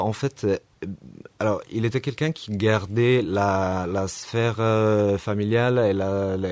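Deep-voiced man with an Italian accent. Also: darkish tone, dry recording. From Rome, Italy. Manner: hesitant, repeats words while searching. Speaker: actor guest. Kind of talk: radio interview conversation.

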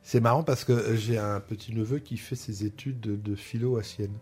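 A man's voice: on the low side, fairly even intonation, moderate pace, a little mellow, slightly rough, faint music behind. A man hosting an interview, chatty and friendly, with many fillers and hesitations. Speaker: host. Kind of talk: radio interview chat.

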